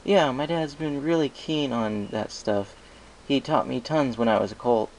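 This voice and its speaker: A man voicing a hesitant, agreeable young male pony who speaks in a halting, unsure way. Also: mid-range voice, a little mellow, a little hiss or hum, close to an everyday voice, poor audio.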